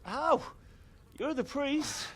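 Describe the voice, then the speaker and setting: A man's voice: extremely high pitch, drawn-out words, fairly bright tone, full character voice. A man playing an exasperated young man in a video game cutscene, incredulous and sarcastic.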